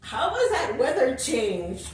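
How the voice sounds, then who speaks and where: A woman's voice: high voice, sing-song. A woman hosting a casual interview, giggly and drawn out as she asks questions. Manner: warm, giggly, slow and drawn out. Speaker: host. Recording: roomy echo, distant mic, faint hiss.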